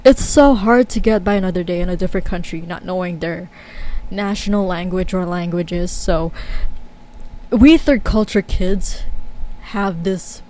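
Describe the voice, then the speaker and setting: A woman's voice: on the low side, measured pace, dark tone, rough recording. A young woman talking casually to camera as a vlogger, chatty and candid with many fillers and frequent laughs.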